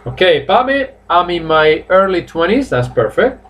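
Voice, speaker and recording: A man's voice: sits high, sing-song, a bit bright. Man in his fifties teaching English. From Spain. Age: 50s. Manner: repeats phrases slowly for drilling, switches between English and Spanish. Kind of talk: live online class, bilingual English and Spanish. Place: small room at home.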